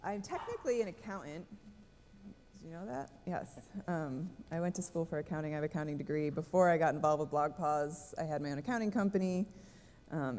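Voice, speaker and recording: A woman's voice: deep voice, darkish tone, a little hiss or hum. A woman speaking on a conference panel, chatty and self-deprecating. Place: conference stage. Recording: reverberant conference hall.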